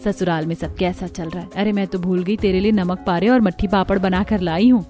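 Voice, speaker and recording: A woman voicing a fond, easily hurt middle-aged mother of married daughters in a cartoon story, soft and affectionate. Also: lowish voice, steady tone, brisk, soft background music, close to an everyday voice. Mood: fond, warm. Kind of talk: Hindi cartoon story dialogue.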